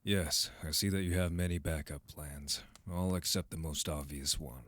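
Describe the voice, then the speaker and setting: Man acting a very deep, haughty fantasy nobleman. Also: moderate pace, fairly bright tone. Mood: condescending. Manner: formal, clipped, condescending. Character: haughty, duty-bound fantasy man, cold and disdainful. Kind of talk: fantasy audio roleplay acting.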